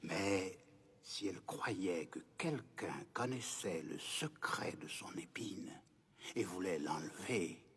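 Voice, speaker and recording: Old grandfather, low husky voice, measured, patient, solemn, animated film. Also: heavily acted. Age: older. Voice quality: husky, breathy. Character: wise old grandfather, calm, kindly, patient.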